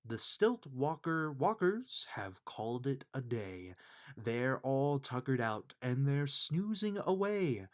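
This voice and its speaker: A man reading a rhyming children's bedtime book aloud with measured, emphatic storytelling delivery. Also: medium pitch, very animated. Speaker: storybook reader.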